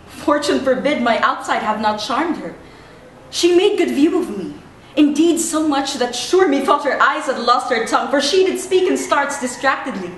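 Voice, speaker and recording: A woman's voice: high-pitched, voice pushed up. A woman playing a perplexed, wry young woman disguised as a man, musing theatrically in a classical stage comedy. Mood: puzzled. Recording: very echoey room, distant mic, faint noise.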